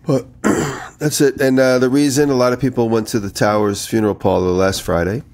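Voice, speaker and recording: A man's voice: medium pitch, little pitch movement, a little fast, a little mellow. A man co-hosting a podcast in casual chat, telling rambling anecdotes, slightly nasal from a head cold. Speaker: podcast co-host.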